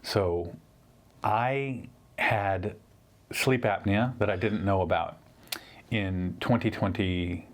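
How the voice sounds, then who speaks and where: A man's voice: fairly low pitch, slow, long pauses. A man in his fifties, a surgeon hosting his own channel, giving an earnest, rambling personal update with frequent restarts. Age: 50s. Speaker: orthopedic surgeon and channel host. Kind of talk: casual personal update to camera.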